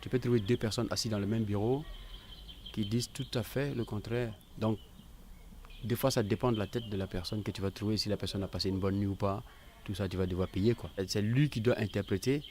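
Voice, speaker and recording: Low-voiced middle-aged man, birdsong behind. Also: fairly even intonation, brisk. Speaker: interviewee giving testimony. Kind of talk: conversational personal testimony. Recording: clear outdoor noise with birdsong. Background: birdsong and ambience.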